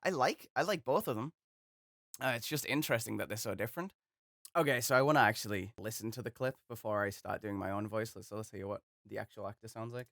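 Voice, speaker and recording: A man's voice: fairly low pitch, fast, creaky, fairly bright tone, no echo, studio quality. A young man chatting casually as host of a voice acting video, rambling and self-deprecating. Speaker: voice acting YouTuber. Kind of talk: casual chat.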